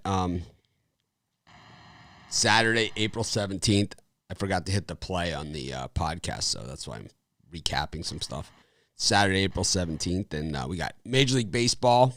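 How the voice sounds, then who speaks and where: A man's voice: low voice, fairly bright tone, no room sound, studio quality. A man hosting a live sports betting show, chatting casually and rambling a little, with restarts and short laughs. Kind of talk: casual live-stream chat.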